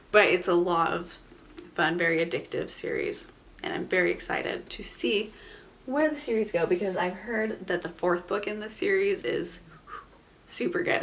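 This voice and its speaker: A woman's voice: on the low side, animated, measured pace, fairly bright tone. A woman giving a casual, chatty book review, enthusiastic and informal, sounding nasal from a head cold.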